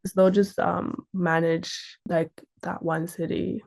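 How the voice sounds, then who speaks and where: Young aussie woman chatting in an interview, lowish gravelly fry, super informal storyteller. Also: flat delivery, slow, a little mellow. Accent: Australian. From Sydney, Australia. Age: young adult. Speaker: fashion model, interview guest.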